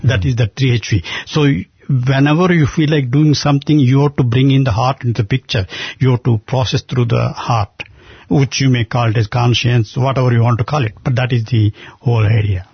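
Gravelly older man with an Indian accent. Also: mid-range voice, fairly even intonation, no echo. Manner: earnest, halting, many restarts. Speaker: guest. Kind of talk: conversational storytelling. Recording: dull muffled lo-fi sound.

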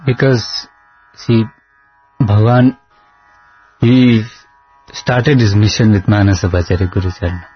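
Husky male guest, Nepali first language, earnest storyteller who slips into song; slightly muffled audio with faint music behind. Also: lowish voice, flat delivery, measured pace, long pauses. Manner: earnest storytelling, breaks into sung lines. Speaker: singer and guest. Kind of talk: devotional interview chat.

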